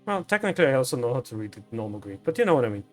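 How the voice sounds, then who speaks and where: A man's voice: medium pitch, very animated, rapid-fire, soft background music. A man from Brazil whose first language is Portuguese, chatting rambly and swearing casually while streaming a game. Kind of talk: casual live gameplay chat.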